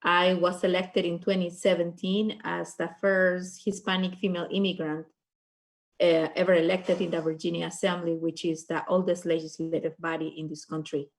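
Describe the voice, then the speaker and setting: A middle-aged woman with a Peruvian Spanish accent, introducing herself in earnest, measured terms as a panelist. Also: lowish voice, flat delivery. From Peru. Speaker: state legislator, panelist.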